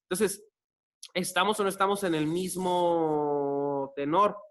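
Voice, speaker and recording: A man's voice: sits high, steady tone, takes it slowly. A man with a Mexican accent, a chef arguing insistently and restarting his sentences as he pushes back. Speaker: chef and debate guest. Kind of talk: casual debate.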